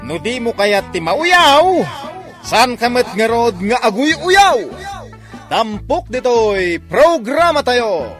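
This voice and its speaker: A man's voice: extremely high pitch, big pitch swings, slow, a bit bright, faint music behind. A man announcing a radio drama programme in a declamatory, scripted style.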